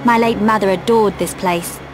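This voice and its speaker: An actress playing a young, reserved, dignified princess in a video game, speaking formally and with composure. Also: female voice, medium pitch, fairly even intonation, moderate pace, over music, close to an everyday voice, low-quality recording. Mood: solemn, reserved.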